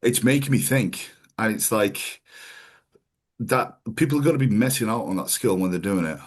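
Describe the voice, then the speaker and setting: Man, host, slightly low voice, loose sweary storytelling, casual podcast chat. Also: moderate pace, slightly rough. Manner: swears freely, animated storytelling with quoted voices.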